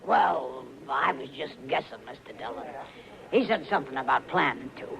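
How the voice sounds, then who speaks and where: A swaggering, tough gun-toting western woman. Her creaky voice swoops boldly and loudly. A noisy saloon crowd sits behind her in the old radio recording. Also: extremely low pitch, lively intonation, moderate pace, full character voice. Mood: brash, sly. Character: brash, tough, swaggering female saloon gunslinger. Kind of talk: 1950s radio western acting.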